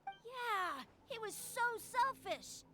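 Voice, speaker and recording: Feisty, very high-pitched little kid. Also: female voice, big pitch swings, drawn-out words, pushed and bright, heavily acted. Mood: indignant. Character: feisty small child, indignant and outspoken. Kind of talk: video game character acting. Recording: game music under the voice.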